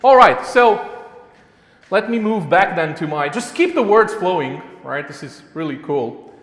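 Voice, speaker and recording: A man's voice: high voice, big pitch swings, measured pace, fairly bright tone. A man with a Romanian accent gives a live conference talk in a chatty, self-mocking way, full of restarts and fillers. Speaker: conference speaker. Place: conference hall before a live audience. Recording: echoey hall with a long reverb.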